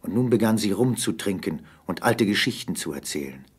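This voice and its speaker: A man with a husky voice narrating an adventure radio play as scripted storytelling. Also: low-pitched, lively intonation, a little fast.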